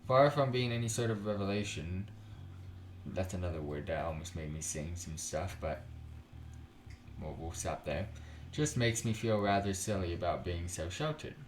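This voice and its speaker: A man's voice: low-pitched, normal speed, echoey room, a little hiss or hum. A man narrating a visual novel for a let's play, breaking off into joking asides. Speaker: video game streamer. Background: soft background music.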